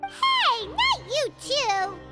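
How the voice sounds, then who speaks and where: A woman voicing a tiny, excitable fairy companion in a video game, with a squeaky childlike voice and exclamatory delivery. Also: extremely slow, heavily acted. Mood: indignant. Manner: exclamatory, expressive. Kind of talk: video game character acting. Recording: loud music behind the voice.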